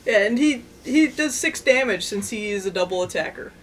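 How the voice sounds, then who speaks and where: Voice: mid-range voice, expressive, moderate pace, a little hiss or hum. A co-host chatting casually, recapping and commenting in an informal, blunt and sarcastic way. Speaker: co-host of a card game anime review.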